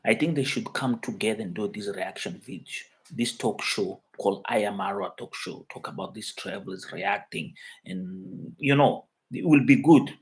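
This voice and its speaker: Mozambican man chatting on a live stream. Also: lowish voice. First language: Chuabo. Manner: halting, with many fillers and restarts. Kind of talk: casual live chat reading comments. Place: indoors in his living room in Europe.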